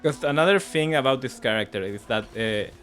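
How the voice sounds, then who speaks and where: A man's voice: mid-range voice, lively intonation, brisk, a bit bright, soft background music. Spanish-accented man streaming a game, laughing. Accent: Spanish-speaking. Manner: laughs often, stumbles and repeats words. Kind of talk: casual gaming livestream chat.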